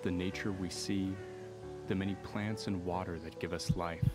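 A man's voice: low voice, steady tone, moderate pace. A man giving reflective scripted voice-over narration in a solemn, sentimental manner. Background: music.

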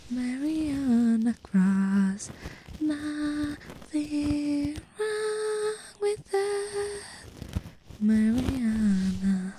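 A woman voicing a possessive, teasing female demon in a breathy, coaxing ASMR roleplay. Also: sits high, mellow, slight background noise, rough recording. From Italy. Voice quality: breathy, whispery.

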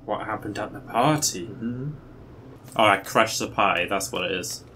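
A man's voice: fairly low pitch, normal speed, a little hiss or hum. A young man streaming a video game from a small room at home on a headset, casually reacting and chatting as he plays. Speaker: gaming streamer. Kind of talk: casual gameplay commentary. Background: loud game music.

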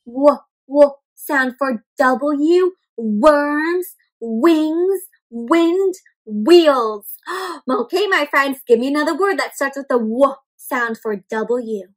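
A young woman hosting an interactive picture-book read-aloud for small children, expressive and animated, asking them questions as she goes. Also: high voice, slow pace, low-quality recording. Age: young adult. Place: indoor room at home with plants and bookshelf.

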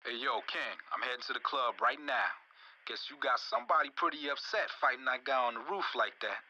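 A man's voice: medium pitch, sharp tone, slight background noise. A man playing a laid-back crew member who talks casual street slang in a game phone call. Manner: casual street talk, unhurried.

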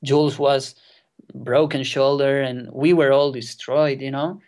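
A young man in his twenties, a non-native English speaker whose first language is Catalan, telling a wild kayaking story as a guest, excited and swearing freely. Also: medium pitch, a little slow. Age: 20s. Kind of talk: casual storytelling interview. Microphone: remote call.

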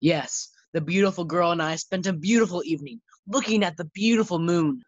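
A woman's voice: lowish voice, a little fast, no room sound, natural voice. A woman acting a breezy, girl-chasing bachelor man in a 1940s-style radio sitcom. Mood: lovelorn. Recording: slightly dull, lo-fi sound.

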